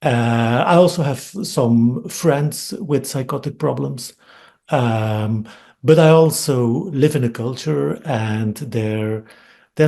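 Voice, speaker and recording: Gravelly Belgian man lecturing hesitantly. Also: mid-range voice, warm tone. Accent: Dutch-speaking Belgian. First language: Dutch. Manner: thoughtful, hesitant with frequent ums and repeated words. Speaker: academic speaker. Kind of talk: webinar lecture. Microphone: computer mic on video call.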